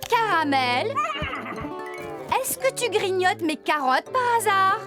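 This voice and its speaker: Very high-pitched cartoon farmer woman. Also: very animated, slow pace, over loud music, heavily acted. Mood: scolding. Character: brisk, scolding woman farmer in a children's cartoon. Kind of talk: French children's cartoon dub.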